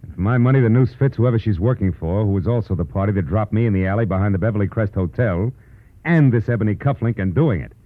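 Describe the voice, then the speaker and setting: Tough wry radio detective, real low voice, clipped and sardonic, muffled old 40s broadcast. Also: male voice, lively intonation, fast, dry recording. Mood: pressing, sardonic. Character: hard-boiled male private detective, wry, shrewd and insistent. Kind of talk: 1940s radio drama acting with first-person narration.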